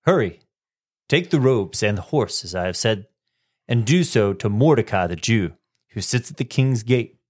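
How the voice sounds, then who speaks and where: A man's voice: lowish voice, expressive, moderate pace, voice pushed down. Man playing a declaiming king. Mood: regal. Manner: formal, declaiming. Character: grand generous king in a skit.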